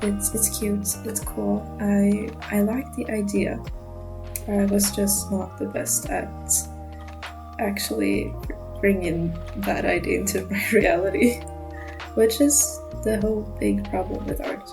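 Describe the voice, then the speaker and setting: Young woman, non-native English accent, mumbling monotone narration, slow pace, music underneath. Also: mid-range voice, vocal fry, poor audio. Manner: nervous, mumbling, laughs often. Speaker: art channel creator. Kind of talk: casual voiceover narrating a drawing.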